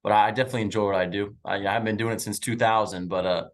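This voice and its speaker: A young man in his twenties works a sales call, rattling along at a quick clip with lots of um and uh. His voice is fairly low, his manner upbeat and chatty. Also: fairly even intonation. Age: 20s. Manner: upbeat, chatty, filler-heavy. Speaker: insurance sales agent. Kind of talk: sales call small talk. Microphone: video call.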